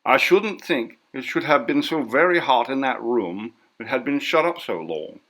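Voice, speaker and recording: A man voicing a brisk, bossy, suspicious sister in a story, with a scolding, insistent manner. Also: medium pitch, animated, a bit bright, voice pushed up. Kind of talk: audiobook character voice.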